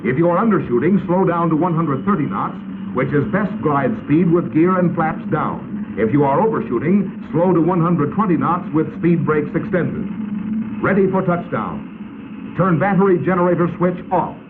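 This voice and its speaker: A man's voice: a little high, lively intonation, normal speed. An American man narrating a 1950s military instructional film in an authoritative, procedural manner. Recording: tinny, band-limited, noisy 1950s film soundtrack.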